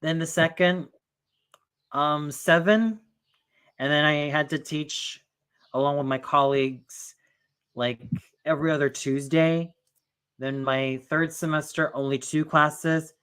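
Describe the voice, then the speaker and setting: A man's voice: fairly high pitch, steady tone, slow, a bit bright, clean tone. A young man chats casually as the host of a stream. He rambles and often circles back with fillers before landing on his point. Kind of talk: casual chat.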